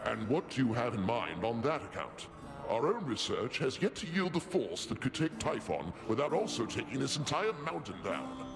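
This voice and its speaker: Pompous old god king in a video game, real lively pitch swings, formal talk, music under it. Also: moderate pace. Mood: grand, proud. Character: regal king of the gods, older, grandly confident. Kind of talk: scripted game acting.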